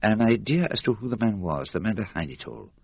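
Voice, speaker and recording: Radio drama: shrewd middle-aged male detective, Belgian French accent, formal and deliberate, animated intonation, dry muffled recording. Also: fairly low pitch, creaky, breathy edge, no echo, exaggerated voice. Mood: brooding. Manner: deliberate, formal, precise phrasing.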